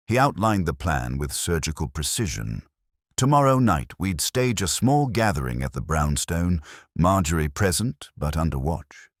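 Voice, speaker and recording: A man narrates a first-person detective story in a very deep voice. His pitch moves in lively swings, and the recording is dry, with almost no room sound. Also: no room sound. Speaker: audiobook narrator.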